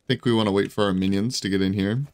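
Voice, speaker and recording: Hoarse man commentating his own gameplay. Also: lowish voice, steady tone, racing, a little mellow, no room sound. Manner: rambling, casual, many fillers and restarts. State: hoarse, scratchy voice. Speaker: gaming video creator.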